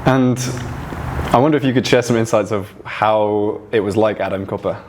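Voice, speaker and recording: A man interviewing a guest, asking questions quietly and encouraging him to go on. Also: lowish voice, moderate pace, slight background noise.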